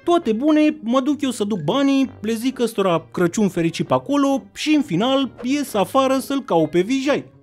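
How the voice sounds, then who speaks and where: A man's voice: very high voice, expressive, hardly any pauses, a little mellow, faint music behind. A young man telling a comic story in slangy, colloquial speech full of swearing.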